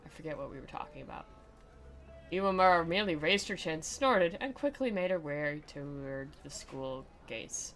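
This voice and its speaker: A woman's voice: low-pitched, lively intonation, normal speed, fairly bright tone, slight background noise. A woman giving sarcastic, playful commentary as she reads a visual novel aloud in a dramatic style. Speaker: game commentator and reader. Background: faint music.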